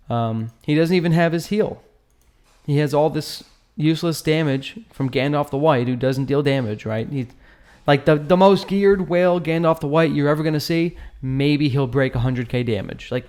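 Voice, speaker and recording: A man's voice: on the high side, darkish tone. Man giving casual gaming commentary. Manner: informal, joking slang, checks in with tag questions. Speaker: gaming video host. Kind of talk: casual gameplay build breakdown commentary.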